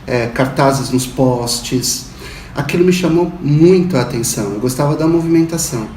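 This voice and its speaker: A man's voice: mid-range voice, darkish tone, a little hiss or hum. Brazilian man in his fifties, roomy and distant. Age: 50s. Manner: measured academic explaining. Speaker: university professor interviewee. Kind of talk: interview answers. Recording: roomy echo, distant mic, faint ambience.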